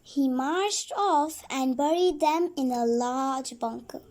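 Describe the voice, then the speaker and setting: Really high girlish lady voice reading a storybook out loud, slow n halting, repeats words. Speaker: read-along storyteller. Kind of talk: reading a children's storybook aloud.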